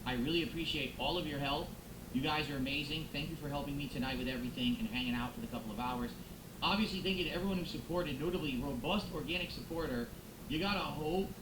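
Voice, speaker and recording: A man live-streaming on a close microphone, chatting casually with viewers, thanking them and musing aloud as he goes. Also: fairly high pitch. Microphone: close XLR microphone. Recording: echoey room with background noise.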